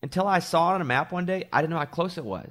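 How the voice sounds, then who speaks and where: A man's voice: on the high side, quick pace, a bit bright. A man from Arkansas, a morning show host, chatting casually on a podcast and telling rambling stories with self-deprecating asides.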